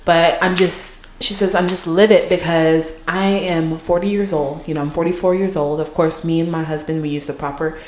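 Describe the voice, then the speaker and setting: A woman's voice: sits low, fairly even intonation, moderate pace, few pauses, darkish tone, roomy sound, slight background noise, low-quality recording. A woman co-hosting a podcast, chatting casually with lots of fillers. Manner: chatty, many fillers and restarts. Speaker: podcast co-host.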